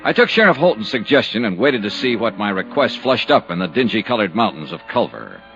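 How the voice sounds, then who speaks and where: A hard-boiled insurance investigator from a 1950s radio serial. His clipped, wry delivery is self-assured and moves widely in pitch. It sounds like a dull old broadcast with some music. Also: big pitch swings, a little fast, fairly bright tone. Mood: brisk, narrating. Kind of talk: 1950s radio detective narration and dialogue.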